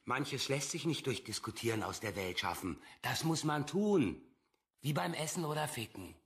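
A man's voice: medium pitch, lively intonation, moderate pace, a bit bright. An actor playing a crude, blunt man of action in a radio play, teasing and colloquial. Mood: brash, teasing.

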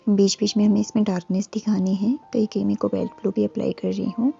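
A woman giving a calm, instructive voice-over for a step-by-step painting tutorial, pausing often with fillers. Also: medium pitch, gravelly, breathy edge, muffled recording, soft background music. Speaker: art teacher. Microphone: voice-over, camera on hands and canvas.

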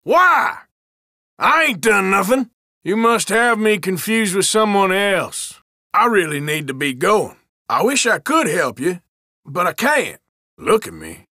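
An actor playing an irritable, wary Old West outlaw in a video game, with a rural American drawl and a folksy, sardonic, defensive manner. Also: male voice, high voice, sing-song, measured pace, bright, forceful tone, no echo, clean studio sound, exaggerated voice. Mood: guarded, evasive. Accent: American, rural Western drawl. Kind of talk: game voice lines, short barks.

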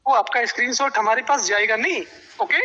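A man on a recorded phone call, smoothly persuading the other person to make a payment. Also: extremely high pitch, a little fast, pushed and bright. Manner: persuasive, smooth-talking salesman. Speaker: caller from a prediction service.

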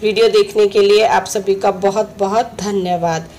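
A woman with a slightly hoarse throat, a home cooking host, calmly explaining each step of a recipe in her kitchen. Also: medium pitch, moderate pace, soft background music. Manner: instructive, friendly, repeats steps.

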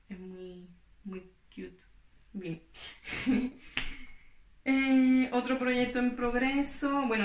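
Young spanish woman chatting about her knitting, mid pitch, bit of room echo. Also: fairly bright tone. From Spain. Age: young adult. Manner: chatty, explains while handling her knitting. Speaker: knitting vlogger. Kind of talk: casual chat to camera. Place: small room in front of yarn shelves. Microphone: camera in front, at table distance.

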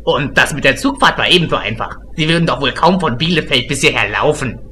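Casting: man as gushing, smug boy-like private detective for comedy radio play, very lively pitch, sharp bright tone. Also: quick pace, slight background noise. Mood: smug, polite. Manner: gushing and theatrical, rambling. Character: excitable, childish, smug self-styled male private detective. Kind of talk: amateur comedy audio drama.

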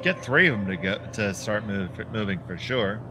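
A man's voice: fairly low pitch, expressive, normal speed, music in the background. A man with a Philadelphia accent calling a curling match as play-by-play commentator, bantering playfully with his partner. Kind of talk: sports commentary.

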